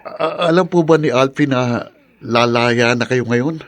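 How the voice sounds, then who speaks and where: A man's voice: medium pitch, unhurried, lightly acted. A man playing a polite, helpful young friend in a radio drama, respectful and reassuring. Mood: surprised, courteous. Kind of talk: Tagalog radio drama acting.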